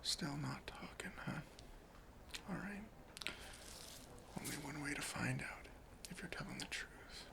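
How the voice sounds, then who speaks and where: Slow, whispering, stern airport security officer, acted out. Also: medium pitch. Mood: suspicious, probing. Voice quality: breathy whisper with creaky, gravelly edges. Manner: slow, deliberate, officious questioning. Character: stern, suspicious airport chief security officer interrogating a traveller. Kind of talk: whispered ASMR roleplay.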